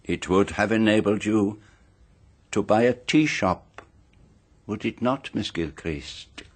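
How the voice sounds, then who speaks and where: Shrewd Belgian French gentleman sleuth for radio drama, lowish pitch, formal, measured, courtly delivery. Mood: pointed, grave.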